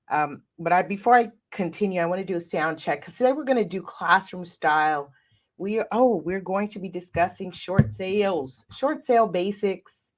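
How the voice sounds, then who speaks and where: A woman hosting a livestreamed real estate show, congested and nasal from a cold, upbeat and repeating phrases as she talks straight to her audience on a desk mic. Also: lowish voice. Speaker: real estate podcast host. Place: home office with a computer. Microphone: desk microphone.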